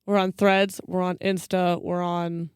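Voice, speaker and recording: A woman's voice: lowish voice, level tone, a little slow, clear, resonant tone, dry recording, clean studio sound. An American woman in her thirties chatting casually as a podcast co-host, chiming in with short wry remarks. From West Coast of the United States. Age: 30s. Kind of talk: casual chat.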